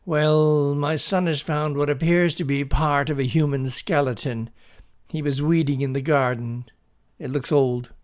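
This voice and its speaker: A man voicing a calm, sceptical father with a measured, drawling manner in a story. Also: fairly high pitch, voice pushed up, close to an everyday voice. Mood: businesslike, hesitant. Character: calm, sceptical middle-aged father, reassuring and unhurried.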